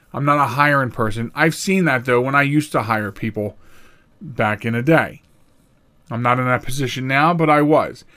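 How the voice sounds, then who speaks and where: A middle-aged man hosting a solo podcast, talking in a rambling, animated way with frequent restarts and sudden jumps in pitch for emphasis. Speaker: podcast host.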